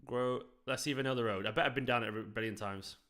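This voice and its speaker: Young South Manchester man, bright forward voice, rambling chatty fan-stream host. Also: mid-range voice, fast. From South Manchester, England. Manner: chatty, rambling, talks to viewers. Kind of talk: live football watchalong chat.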